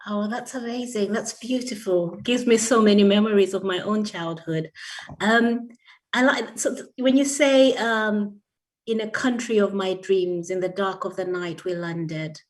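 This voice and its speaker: A woman hosts a festival event in an upbeat, effusive manner, warmly praising her guest. Her voice sounds a little distant from the mic. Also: medium pitch, a little slow. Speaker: event host. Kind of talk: stage hosting.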